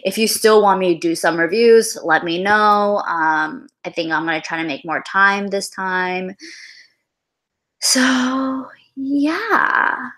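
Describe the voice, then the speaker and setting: A woman's voice: mid-range voice, slow pace, a bit bright. A young woman hosting a casual film livestream, chatty and gushing, with lots of fillers and sighs.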